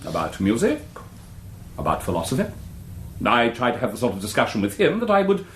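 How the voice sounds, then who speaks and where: An actor playing a dignified, cultured English college principal, giving courteous, careful testimony in a radio drama. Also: male voice, medium pitch, wildly swooping pitch, brisk, slight background noise. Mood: careful, self-justifying. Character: dignified, cultured male Oxford college principal on the witness stand. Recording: echoey courtroom sound.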